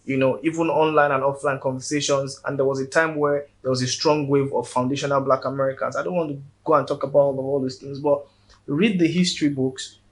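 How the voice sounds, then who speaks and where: A man with a Nigerian accent, a guest in a casual interview, speaking earnestly and often repeating words as he builds his point. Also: mid-range voice.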